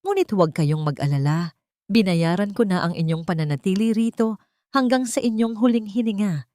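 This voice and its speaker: Calm, resolute wronged Filipino wife, read by a woman. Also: medium pitch, no room sound, clean studio sound, natural voice. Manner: gentle, deliberate.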